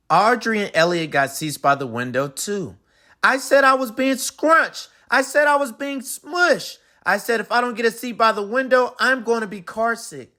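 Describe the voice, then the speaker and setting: Guy doing a grumpy whiny little kid from a picture book, high voice, super dramatic and indignant. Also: sharp tone, full character voice. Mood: indignant complaining. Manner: exaggerated, complaining, dramatic. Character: sulky, whiny, indignant little boy having a bad day. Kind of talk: dramatized children's picture book read-aloud.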